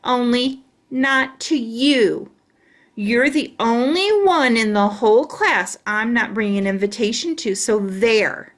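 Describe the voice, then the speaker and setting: A woman voicing a mean, bragging young schoolboy in a children's storybook, loud and taunting. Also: a little high, takes it slowly. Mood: spiteful. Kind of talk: children's storybook read-aloud.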